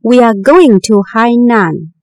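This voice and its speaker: A woman reads a textbook listening exercise aloud, slow and deliberate, with every word clearly shaped. Her voice sits fairly high and moves a lot in pitch. The sound is dry, close and studio-clean. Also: expressive, a little mellow, no echo. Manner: slow, careful, deliberate enunciation. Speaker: listening-test narrator.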